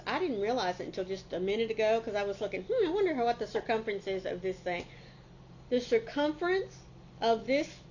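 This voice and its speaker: A woman's voice: medium pitch, darkish tone, lo-fi sound, slight background noise. A middle-aged woman, a bit congested from a cold, chatting casually and folksily to camera as a crafting channel host.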